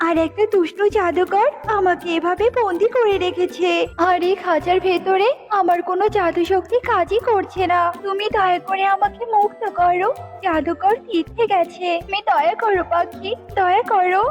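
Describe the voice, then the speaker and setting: A woman's voice: very high-pitched, steady tone, normal speed. A woman voicing a kind young fairy in a cartoon, sweet, imploring and childlike. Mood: pleading. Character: kind young female fairy, gentle helper. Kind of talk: cartoon dubbing. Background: music.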